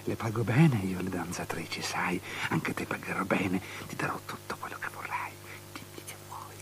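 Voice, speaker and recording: An actor playing a lustful, desperate tetrarch of Judea in a theatrical radio drama, halting and repetitive, lurching between murmurs and outbursts. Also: male voice, low voice, a bit bright, in a whisper. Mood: lustful, coaxing. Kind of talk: avant-garde theatrical radio drama acting. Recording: noisy old drama recording with hum.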